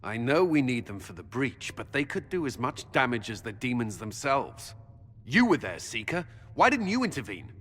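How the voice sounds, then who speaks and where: An actor playing a stern, wary military commander in a fantasy video game, firm and duty-bound. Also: male voice, medium pitch, big pitch swings, moderate pace, a bit bright. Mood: exasperated, stern. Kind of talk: video game dialogue acting. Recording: echoey stone hall, faint background noise.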